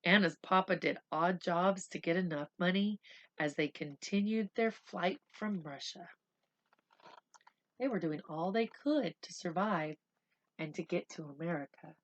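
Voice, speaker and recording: A woman reading a storybook aloud for children, with expressive, deliberate phrasing. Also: lowish voice, dull audio. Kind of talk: read-aloud storytelling with simple asides.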